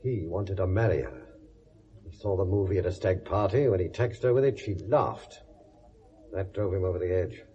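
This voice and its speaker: An actor playing a blunt, world-weary police inspector with a British accent and a sardonic, lecturing manner in a 1970s radio drama. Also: male voice, low-pitched, normal speed, dark tone, close to an everyday voice. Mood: flat, blunt. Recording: dull vintage radio recording.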